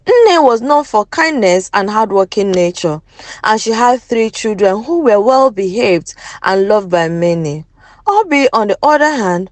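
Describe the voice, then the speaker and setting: Nigerian woman, folktale storyteller, high voice, lively swooping delivery, dry close sound. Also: no echo. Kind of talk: dramatic folktale narration.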